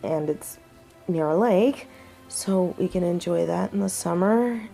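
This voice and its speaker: A young woman playing a devoted half-wolf heroine in a romantic audio drama, speaking earnestly and tenderly with halting pauses, as if to one loved person. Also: on the low side, vocal fry, mellow, soft background music. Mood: hopeful, shy. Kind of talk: romantic audio roleplay acting, intimate one-sided dialogue.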